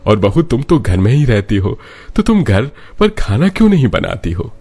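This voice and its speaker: A man voicing a gentle, concerned elderly father-in-law in a cartoon story, earnest in manner. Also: mid-range voice, sing-song, normal speed, breathy edge. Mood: disapproving.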